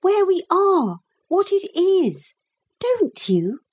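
A woman voicing an earnest, stubborn and excitable young girl in a storybook, with emphatic, childlike, proper phrasing. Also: very high-pitched, extremely slow, voice pushed up, heavily acted. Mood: insistent.

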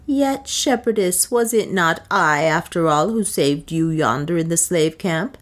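A woman's voice: on the low side, expressive, unhurried. A woman voicing a proud, bitter serving woman in an audiobook, with solemn, archaic, declamatory phrasing. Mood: bitter, reproachful.

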